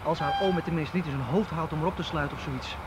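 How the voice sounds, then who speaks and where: Radio drama: actor as nervy but determined man, high pitch, matter-of-fact, noisy vehicle ambience. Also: fast, lightly acted. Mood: tense, determined. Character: determined, pragmatic activist waiting nervously. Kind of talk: naturalistic Dutch radio drama acting.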